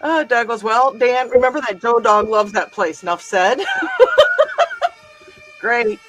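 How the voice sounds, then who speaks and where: A woman's voice: on the high side, sing-song, slow, fairly bright tone, poor audio. A woman co-hosting a live craft stream, chatting playfully and reading out chat comments. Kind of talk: casual chat.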